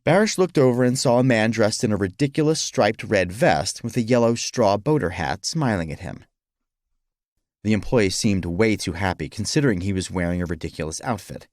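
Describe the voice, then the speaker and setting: A man narrating a scripted story in an expressive, dramatic manner, as for an audiobook. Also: on the low side, moderate pace, no echo, clean studio sound.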